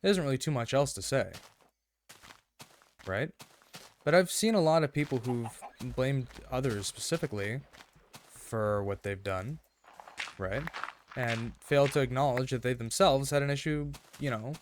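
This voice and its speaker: A man with a slightly raspy, rough throat giving casual, rambling gameplay commentary in an earnest way, often checking in as he goes. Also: medium pitch, moderate pace, long pauses, slight background noise. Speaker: gaming video host.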